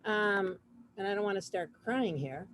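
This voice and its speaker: A woman casually hosting an online community gathering over a video call, chatting informally in a halting way with trailing asides. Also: mid-range voice, fairly bright tone. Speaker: host of an online community program. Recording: noisy video call audio.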